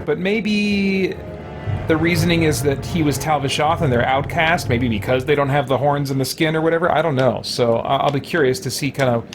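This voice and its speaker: A man's voice: a little high, a little fast. A man giving casual gameplay commentary as a let's play host, thinking aloud as he goes. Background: game music.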